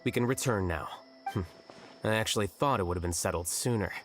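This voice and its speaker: A man voicing an aloof male scholar in a game, dry, deadpan and curt. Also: lowish voice, fairly quick, soft background music, natural voice.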